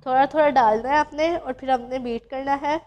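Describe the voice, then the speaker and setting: A woman's voice: high voice, steady tone, fairly quick, a little mellow, lo-fi sound, slight background noise. A woman from Pakistan, patiently explaining a recipe step by step as a cooking channel host. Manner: patient, instructive, addresses viewers directly.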